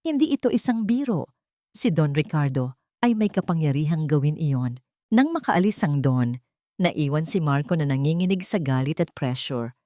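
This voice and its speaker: A woman's voice: deep voice, darkish tone, no room sound, studio quality. A woman storyteller reading dramatic scripted narration for an audio drama.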